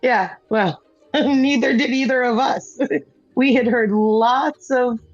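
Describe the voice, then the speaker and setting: A woman's voice: a little high, slow, no echo, faint music behind. A woman hosting a gaming stream, chatting casually and telling a rambling story, laughing often and restarting her sentences. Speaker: streamer and show host. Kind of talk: casual chat while gaming. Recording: dull, dry lo-fi sound.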